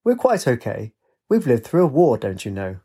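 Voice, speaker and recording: Elderly storybook lady, played by a male narrator: polite, unruffled, genteel, husky voice. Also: medium pitch, wildly swooping pitch, normal speed, warm tone, full character voice. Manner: genteel, apologetic, reassuring.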